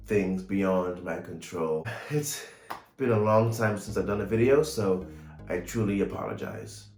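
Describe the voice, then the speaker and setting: Male vlogger, thirties, lowish voice, unhurried and measured, casual delivery, echoey home room. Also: a little mellow. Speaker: writer and vlogger. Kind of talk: casual video vlog to camera. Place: small home room, indoors. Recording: roomy echo, light music behind.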